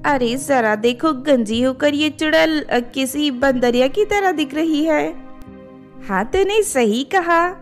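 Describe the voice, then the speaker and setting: A woman voicing an excitable young girl who exclaims shrilly in a children's story. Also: sits high, slow, soft background music, pitched above the performer's own voice. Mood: mocking. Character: excitable young village girls, crying out or jeering.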